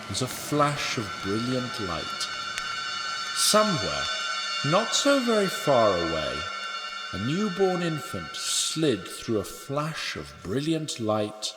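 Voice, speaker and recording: A man narrating a dark fantasy tale slowly and dramatically, his intonation rising and falling widely. Clear music plays underneath his voice. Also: mid-range voice. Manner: slow, dramatic storytelling.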